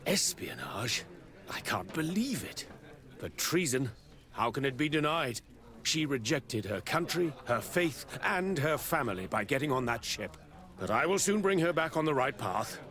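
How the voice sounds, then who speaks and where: A man's voice: mid-range voice, sing-song, unhurried, a bit bright. An actor playing a stern, pious, middle-aged father in a video game, haughty and bitter in his formal speech. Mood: indignant, bitter. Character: stern, pious, scornful father of grown daughter. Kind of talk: video game cutscene dialogue.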